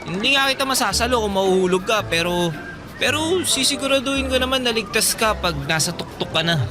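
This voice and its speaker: A high-voiced playful fairground boy. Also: expressive, fairly quick. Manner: teasing, insistent. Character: young male fairground worker, playful charmer. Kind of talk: radio drama acting. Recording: music and crowd noise behind.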